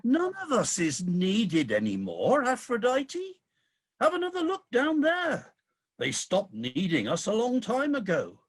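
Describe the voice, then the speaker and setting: A man's voice: high voice, huge pitch swings, measured pace, heavily acted. An actor playing a weary, disillusioned ageing king of the gods in a comic radio play, with a grumbling, theatrical delivery. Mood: disillusioned, exasperated.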